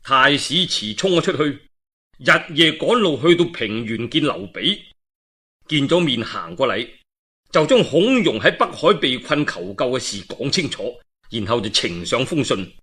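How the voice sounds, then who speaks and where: Animated Cantonese storyteller, husky and bright. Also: male voice, medium pitch, a little slow. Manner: dramatic, expressive, colloquial asides. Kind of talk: animated traditional storytelling of a historical novel.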